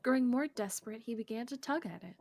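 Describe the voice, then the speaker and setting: A woman's voice: fairly high pitch, lively intonation, quick pace, gravelly, darkish tone. A woman streamer reading a visual novel's narration aloud, with casual asides. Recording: faint background noise.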